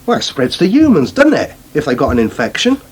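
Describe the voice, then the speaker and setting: Radio soap countryman: dry, sceptical, fairly high voice with lively inflection. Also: brisk, a little hiss or hum. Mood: concerned. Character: dry, wary working countryman studying at agricultural college. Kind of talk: British radio soap acting.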